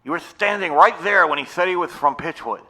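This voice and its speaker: An actor playing an earnest, conspiratorial young vampire-hunting sidekick in an audio drama. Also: male voice, on the high side, brisk, sharp tone, rough recording. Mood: insistent.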